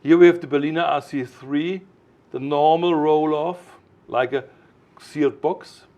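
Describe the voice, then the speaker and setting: A man explaining technical matters informally as a product designer, in a didactic, emphatic way with halting phrasing. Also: on the high side, steady tone, a little mellow, muffled recording.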